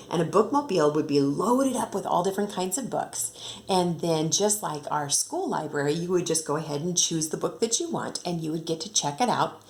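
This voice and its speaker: A fairly low-voiced American woman reads aloud for young children. Her pitch swings in a lively, animated way, and she moves between rhythmic storybook reading and casual teacherly explanation, speaking straight to the kids. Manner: expressive, rhythmic reading, addressing children directly. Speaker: second grade teacher reading aloud to pupils. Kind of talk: children's picture-book read-aloud with casual explanatory asides.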